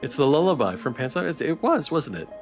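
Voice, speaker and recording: A man's voice: fairly high pitch, very animated, fast. A man streaming a game live, reading its story text and chat aloud with music behind him.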